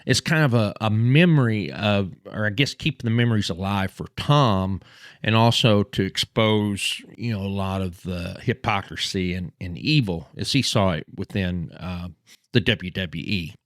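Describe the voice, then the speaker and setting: A man in his fifties with a Texas accent, host of a history channel, tells a personal story. His voice sits on the low side and stops and restarts often. The sound is close and dry, with no room around it. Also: dry recording. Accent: Texan. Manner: halting, many fillers and restarts.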